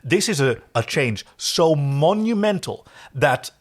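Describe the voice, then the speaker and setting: A man's voice: on the high side, sing-song, takes it slowly. A man co-hosting a casual TV recap podcast, talking in a wry, joking and discursive way. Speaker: podcast co-host. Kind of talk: casual TV episode recap chat.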